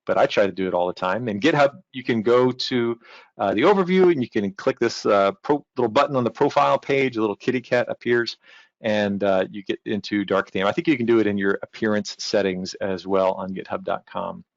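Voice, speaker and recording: A man's voice: on the low side, brisk, gravelly, no echo, lo-fi sound. A man giving an informal technical tutorial as a presenter, in a casual, reassuring, conversational manner. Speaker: technical documentation team member, presenter.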